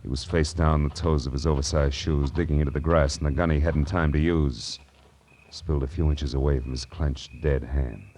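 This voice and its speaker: An actor with an American accent playing a wisecracking, tough private detective in 1940s radio noir, wry and slangy. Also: male voice, a bass voice, fairly even intonation, moderate pace, darkish tone, slight background noise. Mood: tense, grim. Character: hard-boiled, wisecracking male private detective.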